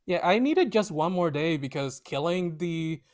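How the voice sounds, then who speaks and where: A man's voice: on the high side, animated. A man from Puerto Rico with a hard-to-place Puerto Rican accent, chatting casually as a game player. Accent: mixed Puerto Rican. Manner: talks fast and self-deprecating about his accent.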